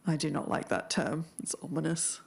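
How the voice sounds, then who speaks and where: A woman's voice: sits low, fairly even intonation, normal speed, gravelly. A woman co-hosting a podcast, talking and reading aloud over music. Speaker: podcast co-host.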